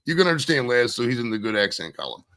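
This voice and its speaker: A man's voice: mid-range voice, sing-song, fast talker, fairly bright tone, smooth, dry recording, clean studio sound. A man from the United States trading brash, joking banter and swearing freely on a hobby stream. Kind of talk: casual banter.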